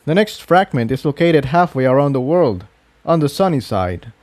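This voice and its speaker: A man's voice: a little high, very animated, normal speed, a little mellow, no room sound, pitched above the performer's own voice. A man voicing a clever, self-assured male pony inventor in a matter-of-fact way for a storybook reading. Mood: confident.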